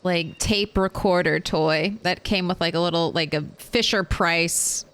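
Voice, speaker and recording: Slow-talking woman streamer chatting while gaming. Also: lowish voice, steady tone, a bit bright. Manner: chatty, thinks aloud, laughs often. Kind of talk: casual chat while gaming.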